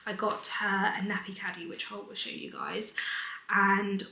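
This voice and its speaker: A young woman with a British accent, chatting casually to camera in a weekend vlog, a little hoarse and drifting into half-whispers. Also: medium pitch, level tone, unhurried, pushed and bright, roomy sound. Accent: British English. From United Kingdom. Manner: breezy and rambling, sometimes half-whispered. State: slightly hoarse. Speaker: vlogger.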